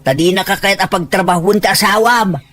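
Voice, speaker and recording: A woman's voice: mid-range voice, brisk, fairly bright tone, close to an everyday voice. A woman with a Filipino accent playing a boastful, money-minded older parent in an Ilocano audio drama, pushy and full of exclaimed questions. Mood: indignant, scolding.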